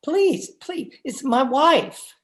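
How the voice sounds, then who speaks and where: Woman playing a high-voiced, stammering meek husband. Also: expressive, drawn-out words, heavily acted. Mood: nervous, pleading. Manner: stammering, hesitant. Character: timid, henpecked, frightened husband, a meek little man. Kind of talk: old time radio horror acting. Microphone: video call.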